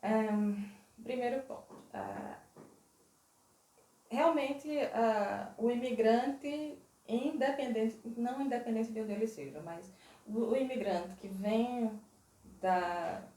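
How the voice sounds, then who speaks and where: A woman from Northeast Brazil with a Northeastern Brazilian accent, answering interview questions in a thoughtful, measured way and stressing some points firmly. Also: a little high, dark tone, reverberant, distant mic. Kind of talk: interview conversation. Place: Portugal.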